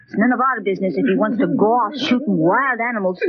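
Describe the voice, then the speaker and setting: An actress in a 1940s radio drama playing a nosy, bossy working-class woman with excitable, folksy, ungrammatical speech. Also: medium pitch, fast, a crowd in the background, close to an everyday voice. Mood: alarmed. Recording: dull, lo-fi 1940s radio recording.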